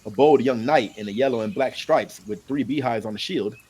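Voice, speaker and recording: A man narrating an audiobook in an expressive, amateur storytelling style. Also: mid-range voice, fairly quick, slight background noise, rough recording.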